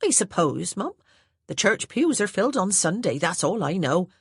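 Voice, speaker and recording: A woman voicing an elderly, humble household servant who talks briskly in a country dialect. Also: mid-range voice, sing-song, slightly rough, dry recording, studio quality, voice pushed up, heavily acted. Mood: plain. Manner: brisk, says "aye" and "mum" in a country dialect.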